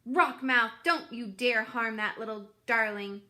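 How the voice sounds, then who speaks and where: Woman playing a bright-voiced feisty old goose. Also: fairly high pitch, measured pace. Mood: fierce. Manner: bold, motherly scolding.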